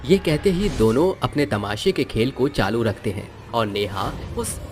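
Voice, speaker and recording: Male story narrator, medium pitch, very lively delivery, faint music bed. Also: unhurried. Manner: expressive storytelling. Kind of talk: scripted narration of a moral fantasy story. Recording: music under the voice.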